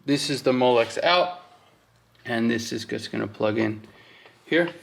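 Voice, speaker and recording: Guy with gravelly creaky voice casually walking thru a workshop job, kinda thinking out loud. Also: mid-range voice, a little slow, slight background noise. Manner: informal, thinking aloud. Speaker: host of a hands-on wiring walkthrough. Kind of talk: casual workshop explanation while working.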